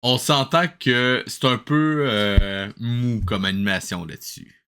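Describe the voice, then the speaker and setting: A man's voice: medium pitch, slow pace, very noisy. Wry man joking over a cartoon. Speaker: host reacting to a cartoon. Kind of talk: casual sarcastic commentary.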